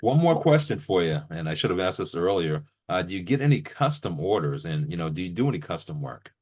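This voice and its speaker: A man's voice: low-pitched, big pitch swings, fairly quick, a little mellow. A man hosting a casual interview, informal and appreciative in how he talks to his guest. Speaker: interviewer and video channel host.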